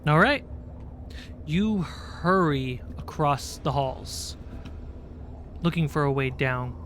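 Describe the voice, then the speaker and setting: A man with a fairly high voice runs a tabletop game as game master. He talks slowly, in short halting phrases, with faint noise behind him. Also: expressive, fairly bright tone. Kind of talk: improvised tabletop game narration. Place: indoor livestream.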